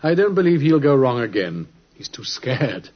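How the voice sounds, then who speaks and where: Casting: commanding British detective for vintage radio, shrewd, theatrical, widely swooping delivery, dulled broadcast sound. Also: male voice, mid-range voice, very animated, normal speed. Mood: reflective, warm. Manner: theatrical, imperious, precise. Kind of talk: old-time radio drama acting. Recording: muffled vintage radio, music behind.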